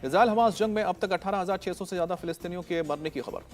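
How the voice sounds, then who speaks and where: A man with an Indian accent working as a news anchor, reading scripted, rapid-fire headlines. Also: high voice, faint music behind.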